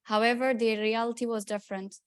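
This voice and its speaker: Young Afghan woman, earnest speech, bright-ish pitch, dry close sound. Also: flat delivery, clear, resonant tone, dry recording. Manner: earnest, measured storytelling. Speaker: student speaker. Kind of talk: personal speech to an audience.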